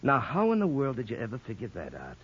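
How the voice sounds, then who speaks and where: An actor playing a wisecracking, needling police lieutenant with sarcastic banter, in a 1950s radio drama. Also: male voice, mid-range voice, wildly swooping pitch, fast, slightly rough. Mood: sardonic, needling. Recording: muffled old radio recording.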